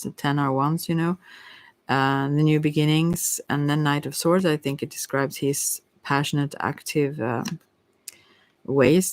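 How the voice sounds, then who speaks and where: A person giving a casual ASMR tarot reading, rambling and musing in a gentle, reassuring and hesitant way. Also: mid-range voice, dry recording. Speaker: tarot card reader.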